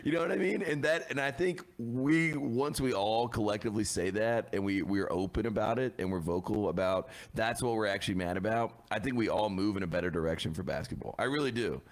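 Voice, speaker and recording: A man co-hosting a sports podcast, slightly hoarse, ranting emphatically and repeating his points. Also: on the low side, brisk, hardly any pauses. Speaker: podcast co-host. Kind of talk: casual sports chat.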